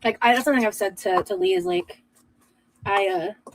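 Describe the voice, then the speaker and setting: A woman's voice: mid-range voice, very animated, normal speed, vocal fry. Chatty woman cooking, noisy background. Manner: chatty, lots of "like", talks while busy cooking. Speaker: cooking livestream host. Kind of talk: casual chat while cooking. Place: home kitchen.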